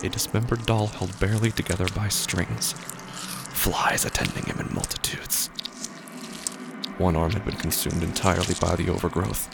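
A man narrating scripted horror fiction dramatically and gravely, with deliberate pauses and breathy drops toward a whisper. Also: deep voice, flat delivery, heavy background noise.

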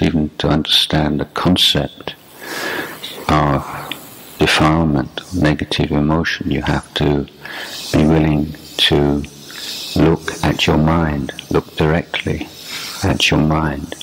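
A man with an extremely low voice leads a meditation practice. He speaks slowly and deliberately, leaving long pauses between short phrases. His delivery stays very even in pitch, like unscripted instruction from a teacher. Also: slight background noise, rough recording. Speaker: meditation teacher, Buddhist monk. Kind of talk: guided meditation instruction, unscripted dharma talk.

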